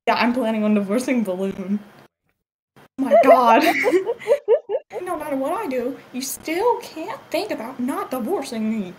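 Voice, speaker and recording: A woman's voice: high voice, expressive, a little slow, a crowd in the background. A woman improvising a joking cartoon fandub in a giggly, theatrical manner. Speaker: amateur fandub voice actor.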